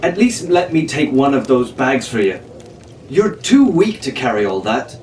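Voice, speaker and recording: A proud, gallant young gentleman sailor with formal, condescending manners, acted by a man reading aloud. The storybook figure sounds set back from the mic in an echoey room. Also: medium pitch, big pitch swings, normal speed, natural voice. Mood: protesting. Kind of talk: audiobook character voice. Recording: roomy, noisy, distant microphone.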